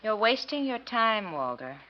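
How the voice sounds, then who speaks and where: An actress playing a cool, guarded, scheming American femme fatale in a 1940s radio drama, speaking curtly with a seductive edge. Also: female voice, on the high side, sing-song, unhurried. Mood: cold, defiant. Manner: curt, guarded, coolly seductive. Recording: muffled 1940s radio recording.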